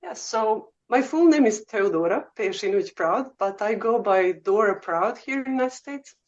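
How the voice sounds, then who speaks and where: A woman with a Serbian accent speaks at a middle pitch in a loose, chatty way, filling pauses with "um" and "uh." She sounds like a guest sharing her own story. The audio is slightly muffled and lo-fi. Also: lively intonation. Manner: chatty, frequent "um" and "uh", laughs easily. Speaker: guest oboe professor. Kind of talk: casual interview storytelling.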